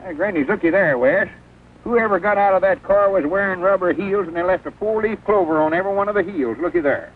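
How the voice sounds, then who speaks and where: Guy as know-it-all southern hick, bit high voiced, scornful, tinny old 40s movie. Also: fairly quick, close to an everyday voice. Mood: pleased. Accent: rural Southern US. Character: folksy, know-it-all country man, scornful and self-satisfied. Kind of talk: 1940s film comedy acting. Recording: tinny old film soundtrack, faint noise.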